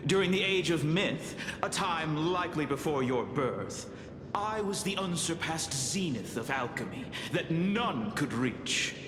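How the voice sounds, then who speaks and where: A man playing a grandiose, eccentric and sinister ancient alchemist in a fantasy game, with theatrical, pompous, drawn-out delivery. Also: a little high, lively intonation, background noise. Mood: boastful, grandiose. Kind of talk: scripted fantasy game voice acting. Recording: echoey hall, music behind.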